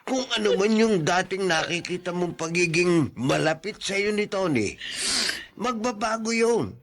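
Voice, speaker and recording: A man's voice: high-pitched, lively intonation, unhurried. An actor playing a patient older man who consoles and advises in a radio drama, exasperated yet soothing. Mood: exasperated advising. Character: patient older male confidant, Tata Luis, consoling and advising. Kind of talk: Filipino radio drama acting.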